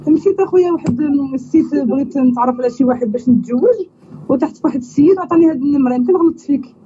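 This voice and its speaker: A rapid, high-pitched young woman with a Moroccan accent chatters about finding a husband back home, as a man puts her on for a prank call. Her tone is mellow and soft-edged, and the sound is dull and lo-fi with a faint hiss. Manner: chatty, pleading, repeats "you understand?".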